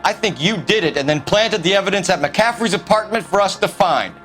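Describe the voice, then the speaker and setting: A man's voice: sits high, animated, a little fast, soft background music. An American man voicing a stern, accusing young police detective in a crime drama video game, clipped in his questioning. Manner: clipped, forceful interrogator.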